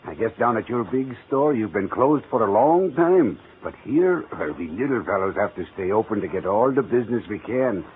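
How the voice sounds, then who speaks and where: A thin, lightly hissing vintage radio recording. A man acts an old male shopkeeper with a lilting, animated delivery, genial, gentle and apologetic in old-time drama style. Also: lowish voice, moderate pace, few pauses, phone-quality sound, lightly acted. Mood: warmly welcoming. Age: older. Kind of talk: 1940s radio drama acting.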